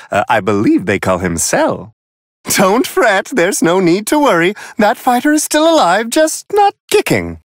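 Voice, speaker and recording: A man voicing a serene, refined angel attendant who gives polite, flowery, amused fight commentary in a video game, in a high, airy voice. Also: fairly bright tone, no room sound, clean studio sound, full character voice. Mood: politely amused. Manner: polite, flowery, genteel phrasing.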